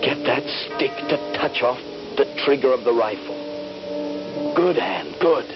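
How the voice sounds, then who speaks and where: An actor playing a desperate, haunted, wounded young soldier in a 1970s radio drama, moving between hushed whispers and cries. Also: male voice, medium pitch, animated, a little slow. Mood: haunted, tense. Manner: anguished, theatrical, swinging from hushed whispers to cries. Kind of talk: 1970s radio drama acting with first-person narration. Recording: dull 1970s radio recording, music behind.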